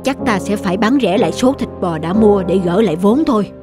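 A woman's voice: medium pitch, animated, fairly quick, over music, voice pushed down, lightly acted. A woman voicing a heavyset male restaurant owner in a cartoon story. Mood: glum, resigned.

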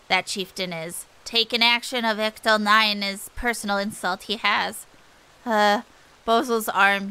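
A woman's voice: mid-range voice, sharp tone, clean tone, voice pushed down, low-quality recording. A woman voicing an injured, wary bird-man engineer from a fantasy game, grudging and speaking in inverted word order.

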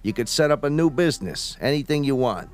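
An American man playing a falsely genial, menacing gangster boss in a radio drama, with a slangy, smooth-talking tough-guy delivery. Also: medium pitch, expressive, fairly quick, lightly acted. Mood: coaxing.

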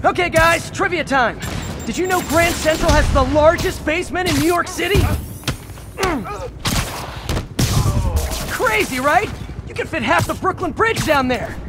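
A man's voice: very high voice, moderate pace, very noisy. An actor playing a young, earnest, wisecracking male superhero in a video game, chatty and quippy. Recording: loud music behind the voice. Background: loud game score and combat sounds.

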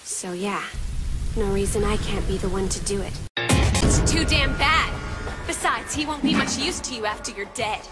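A woman's voice: medium pitch, a little fast, natural voice. A woman playing a possessive, murderous, lovesick young woman in an anime dub, speaking with menace. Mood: menacing. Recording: loud music under the voice.

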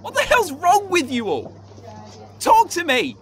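A man's voice: extremely high pitch, wildly swooping pitch, a little slow, fairly bright tone, a little hiss or hum, heavily acted. A man playing an anxious, easily rattled young exchange student in a radio drama, exclamatory and agitated. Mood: desperate. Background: music.

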